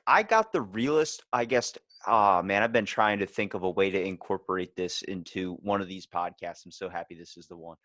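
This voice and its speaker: A man's voice: deep voice, a little fast, few pauses, dry recording. A man hosting a podcast in casual chat, telling rambling stories and acting out the dialogue with some swearing. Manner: rambling, animated retelling with acted-out dialogue and profanity. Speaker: podcast host.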